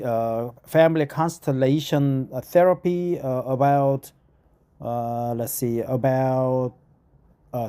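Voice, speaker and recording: A middle-aged Korean man with a Korean accent, reading an article aloud in English to practice, halting with many fillers and repeating phrases. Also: medium pitch, warm tone. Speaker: vlogger.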